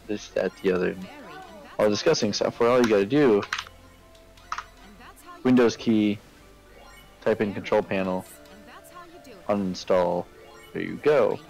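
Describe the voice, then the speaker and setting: A man in a casual voice chat, explaining things in a rambling, halting way. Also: fairly low pitch, vocal fry, darkish tone. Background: faint music.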